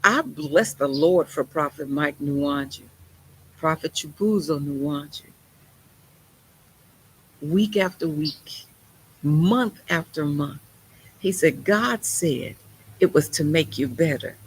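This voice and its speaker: A woman's voice: deep voice, takes it slowly, long pauses, slight background noise, poor audio. A woman preaching a sermon in an emphatic, conversational way, with folksy turns of phrase.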